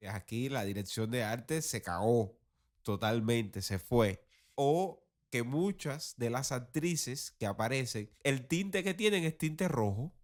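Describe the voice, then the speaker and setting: A man's voice: medium pitch, animated, slow pace. Conversational man giving opinions. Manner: conversational, critical, colloquial. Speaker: podcast host and teacher. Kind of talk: casual opinionated commentary.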